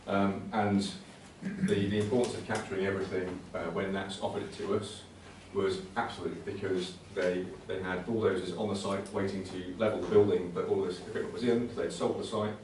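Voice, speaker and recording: Male presenter, low-pitched, conversational and halting technical talk; distant mic in a very reverberant room. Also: little pitch movement, darkish tone. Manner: hesitant, conversational, repeats words. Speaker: presenter at a computing conservation talk. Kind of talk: informal technical presentation with slides. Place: indoor talk venue. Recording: very echoey room, distant mic, faint noise.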